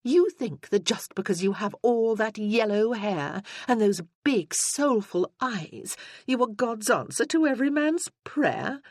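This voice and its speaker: A woman's voice: a little high, lively intonation, measured pace, few pauses, darkish tone, dry recording, clean studio sound, pitched above the performer's own voice. A narrator doing a sneering haughty woman. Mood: scornful. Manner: sneering, mocking. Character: scornful sharp-tongued woman, haughty and mocking.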